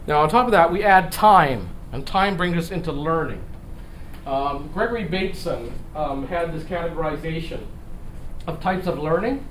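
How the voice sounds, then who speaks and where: A middle-aged man giving a conversational lecture, halting and restarting phrases as he explains with rhetorical questions. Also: fairly high pitch. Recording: echoey room, distant mic, noisy background.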